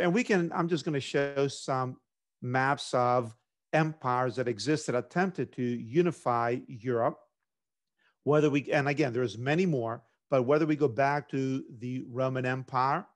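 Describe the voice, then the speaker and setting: A middle-aged man from Greece, a government instructor, giving a measured academic lecture over a video call. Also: mid-range voice.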